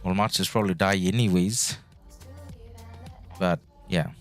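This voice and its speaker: A man's voice: low-pitched, fairly even intonation, unhurried. A man from Bangladesh whose first language is Bengali, chatting casually while streaming a strategy game and answering viewers' chat in a rambling way. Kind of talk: casual live-stream commentary. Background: background music.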